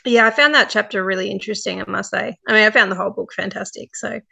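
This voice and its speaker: A woman presenting a radio chat, friendly and quick to laugh. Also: medium pitch, steady tone, vocal fry, sharp tone, slightly rough. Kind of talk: casual radio interview.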